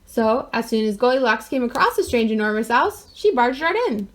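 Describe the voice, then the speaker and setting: High-voiced woman reading a children's story. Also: expressive, fairly quick, slight background noise. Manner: wry, mock-innocent asides. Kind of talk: expressive children's picture-book read-aloud.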